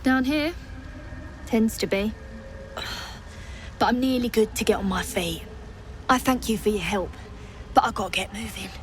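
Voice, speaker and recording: An actress playing an injured, earnest dwarf artificer in a fantasy game, blunt and weary in manner. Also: female voice, medium pitch, normal speed, pauses often, a little hiss or hum, lightly acted. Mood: weary, hurried.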